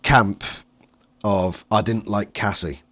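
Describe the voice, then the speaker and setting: A man's voice: lowish voice, fairly even intonation, slow, fairly bright tone. A man talking casually as an interviewer and reviewer in a fan discussion.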